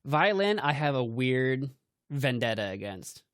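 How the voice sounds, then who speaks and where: A young man co-hosting a podcast in casual chat, with a higher-pitched voice, laughing often and leaning on "like" fillers. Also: very animated, measured pace, a bit bright, no echo, studio quality. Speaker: podcast co-host. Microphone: home mic over voice chat.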